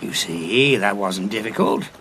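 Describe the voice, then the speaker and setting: A weary war-hardened Time Lord. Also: male voice, lowish voice, big pitch swings, measured pace, a little hiss or hum, heavily acted. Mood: calm. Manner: gruff, urgent, pleading when shouting. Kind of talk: audio drama acting.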